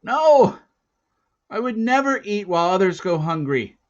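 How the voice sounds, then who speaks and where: Storybook young hunter voiced by a man: earnest boy, raised pitch, pleading and defensive, slightly muffled recording. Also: very animated, unhurried, dry recording. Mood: protesting. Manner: eager, pleading, hesitant.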